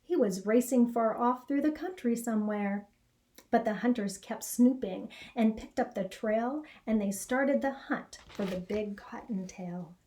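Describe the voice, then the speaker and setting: Slow, mellow woman reading a story. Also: on the high side. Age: middle-aged. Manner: expressive, measured storytelling. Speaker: storybook reader. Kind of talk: read-aloud children's story narration. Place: small indoor classroom or office.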